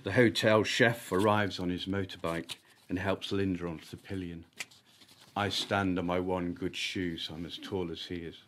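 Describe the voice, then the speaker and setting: A man with a fairly low voice reads his own short story at a live literary event. His phrasing is deliberate and emphatic, timed for wry comic effect. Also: fairly even intonation, a bit bright, slight background noise. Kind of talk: live dramatic story reading.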